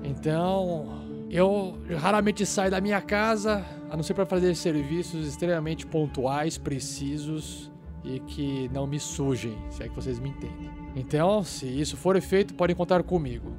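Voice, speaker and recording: A man plays a mercenary hacker recluse in a tabletop game. He is sardonic and theatrical, with a fairly high voice over clear background music. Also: normal speed, lightly acted. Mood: smug, businesslike. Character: cocky, mercenary male hacker shut-in in wheelchair.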